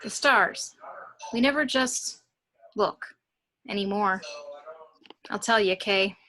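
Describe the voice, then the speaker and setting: A woman playing a weary, aging secret agent, gentle and regretful, in an amateur script read. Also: mid-range voice, slow pace, poor audio. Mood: wistful. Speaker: amateur actor at a table read.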